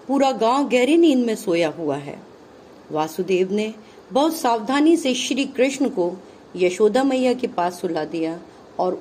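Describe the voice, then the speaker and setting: Slow, deliberate woman storyteller. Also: mid-range voice, warm tone, a little hiss or hum, rough recording. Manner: deliberate, phrase-by-phrase delivery. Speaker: puppet show narrator. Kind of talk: scripted storytelling narration for children.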